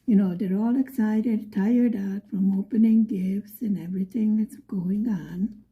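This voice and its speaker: A middle-aged woman from Buffalo, New York, telling a story in a soft, often whispery voice. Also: mid-range voice, steady tone, unhurried, narrow, tinny audio. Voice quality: frequently whispery, breathy delivery. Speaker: vlogger.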